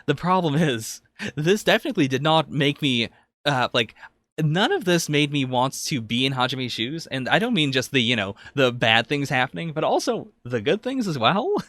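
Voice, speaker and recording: A man's voice: on the high side, very animated, moderate pace, a bit bright, no room sound. A man co-hosting a podcast, casually discussing anime and thinking aloud as he analyses it. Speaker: podcast co-host.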